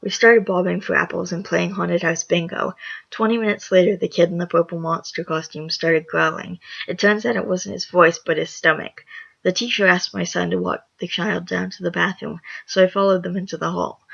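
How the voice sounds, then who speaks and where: Brief: hoarse, gravelly vlogger reading reviews aloud, mid pitch, some stumbles, dull lo-fi mic. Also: steady tone, normal speed, a bit bright. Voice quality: raspy. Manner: reads with small stumbles and self-corrections. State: hoarse after just waking up. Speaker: channel host.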